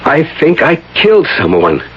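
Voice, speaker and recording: A troubled professor in an old radio mystery. His pitch moves widely as he halts, repeats himself and turns defensive. The broadcast sounds dull, with faint noise. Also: wildly swooping pitch. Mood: haunted, unsure. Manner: hesitant, halting, repeats words, defensive. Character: troubled, evasive professor, anxious and unsure of himself. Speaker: actor in a radio mystery play. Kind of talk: vintage radio drama acting.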